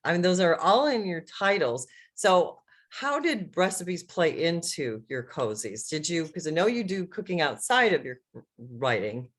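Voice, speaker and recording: A woman's voice: on the low side, big pitch swings, unhurried. A mature woman conducting a casual, conversational interview over a video call. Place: indoor room with bookshelves, video call. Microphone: video call microphone.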